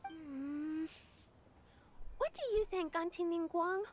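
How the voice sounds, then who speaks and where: Very high-pitched, bossy little girl. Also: takes it slowly, a little hiss or hum. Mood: curious. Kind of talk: video game character acting.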